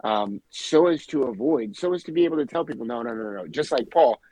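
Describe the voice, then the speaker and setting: A man in a casual church discussion, speaking in a halting, thoughtful way with repeated words. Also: medium pitch, expressive, warm tone, dry recording, poor audio. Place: New England.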